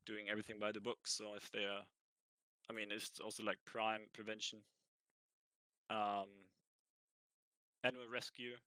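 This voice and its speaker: A man with a cold answering interview questions in a roleplay, halting and thinking aloud as he goes. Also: fairly low pitch, bright, forceful tone, smooth, no room sound, poor audio. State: has a cold. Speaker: ranger job applicant.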